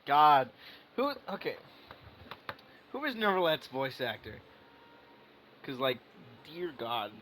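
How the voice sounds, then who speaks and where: A man's voice: a little high, sing-song, takes it slowly, pauses often, a bit bright, slight background noise. Casual, sweary male game streamer. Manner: sweary, teasing, rambling. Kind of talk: casual game reaction commentary.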